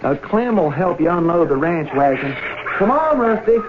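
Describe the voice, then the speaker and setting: An actor playing a gentle, quiet young farmer, a loving and jealous husband, in a 1970s radio drama. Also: male voice, on the high side, very animated, normal speed, very noisy. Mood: cheerful. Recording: tinny band-limited radio broadcast, music.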